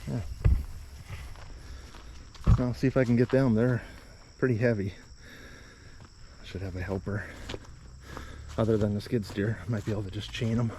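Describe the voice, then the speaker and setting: A man's voice: fairly low pitch, steady tone, moderate pace, pauses often. A man talking casually through a hands-on building job as he works outdoors, plainspoken and offhand, like a DIY vlogger. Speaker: builder filming a DIY construction project. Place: outdoors at a rural building site. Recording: muffled lo-fi outdoor audio, loud background noise.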